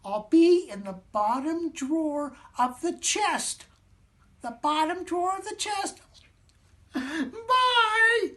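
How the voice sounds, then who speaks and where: A man voicing a tiny, excitable, weepy matzo man from a children's storybook, squeaky, stammering and prone to whimpers and giggles. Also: slow, full character voice. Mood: boastful, giggly.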